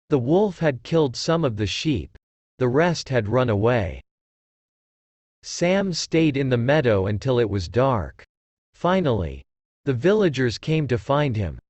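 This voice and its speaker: A man's voice: medium pitch, a little mellow, dry recording. A man narrating a scripted story for children.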